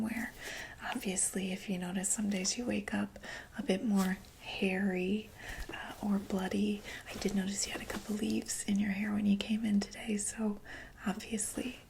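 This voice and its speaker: A woman playing a reassuring female doctor for monsters in a whispered ASMR roleplay, explaining gently and hesitantly in a breathy voice. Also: medium pitch, a little hiss or hum, natural voice, poor audio.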